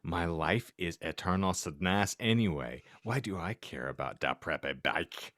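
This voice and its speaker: A man with a fairly low voice reads fan fiction aloud as a podcast host. His delivery is slow and theatrical, with swooping intonation and deliberate comic stumbles. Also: lively intonation, a bit bright, dry recording, studio quality.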